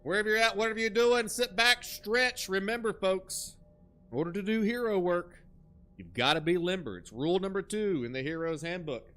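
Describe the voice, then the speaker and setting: A man's voice: high voice, lively intonation, normal speed, a bit bright, faint music behind. A man hosting a live game stream, commentating with hammy announcer-style bits and crude jokes.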